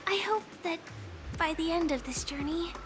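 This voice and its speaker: A woman voicing a gentle, earnest young woman in a game, soft and sincere. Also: very high-pitched, moderate pace, music in the background.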